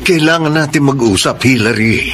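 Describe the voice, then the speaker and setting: An actor playing an earnest, dramatic young boyfriend with a torn heart in a Filipino radio drama. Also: male voice, mid-range voice, moderate pace, heavy background noise, close to an everyday voice. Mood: apologetic, firm. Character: torn young boyfriend still in love with his ex.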